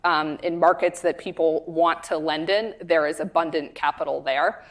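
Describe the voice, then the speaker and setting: A woman's voice: deep voice, steady tone, moderate pace. A woman on a conference panel, talking through market risks analytically and thinking aloud.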